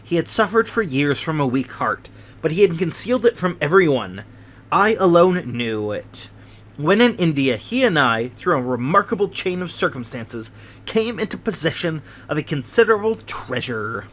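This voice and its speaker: A man's voice: a little high, very animated, moderate pace, a bit bright, a little hiss or hum. A man voicing a dying, guilt-ridden old major. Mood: confessing.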